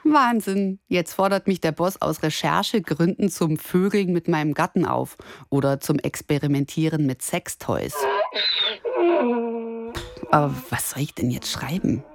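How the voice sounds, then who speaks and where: A woman's voice: deep voice, a little slow. A woman of thirty-nine delivering an ironic, self-mocking scripted radio monologue in the first person. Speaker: radio columnist and presenter.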